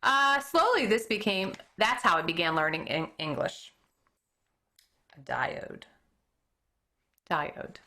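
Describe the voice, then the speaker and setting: A woman teacher reading a children's book aloud in an expressive way, now and then stumbling over a word and correcting herself. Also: mid-range voice, takes it slowly, long pauses.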